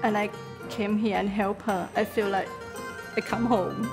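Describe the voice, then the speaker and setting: Soft-spoken Thai woman, slow broken English. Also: mid-range voice, steady tone. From northeastern Thailand. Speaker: restaurant owner and head chef. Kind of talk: personal documentary interview. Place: indoor restaurant dining room. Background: background music.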